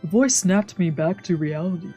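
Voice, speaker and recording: Low-voiced woman streaming a visual novel. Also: moderate pace, dark tone, husky. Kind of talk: read-aloud narration with casual let's-play chat. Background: faint game music.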